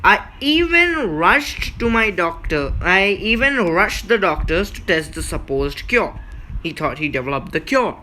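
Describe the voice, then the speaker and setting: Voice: medium pitch, very animated, measured pace, pushed and bright, slight background noise. A gaming video host giving a casual walkthrough, explaining emphatically with repeats and frequent check-ins. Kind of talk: casual gameplay walkthrough commentary.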